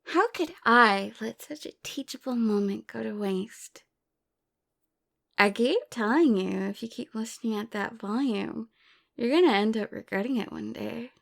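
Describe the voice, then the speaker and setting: A woman acts out a playful girlfriend in an intimate audio roleplay. The voice is fairly high with a creaky edge, and the talk is casual, amused and affectionately teasing.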